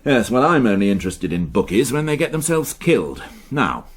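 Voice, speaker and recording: Wry police inspector, very animated. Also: male voice, mid-range voice, a little fast, husky. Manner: dry, clipped, authoritative. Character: weary, wry, impatient male police inspector. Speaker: actor. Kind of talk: radio detective drama acting.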